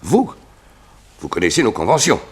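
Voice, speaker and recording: An actor playing a shrewd, self-serving scientist and secret doper in a 1960s French radio drama, articulate, emphatic and self-possessed. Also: male voice, mid-range voice, wildly swooping pitch, moderate pace, breathy edge. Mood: stern. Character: shrewd, self-serving male scientist who secretly makes horse dope.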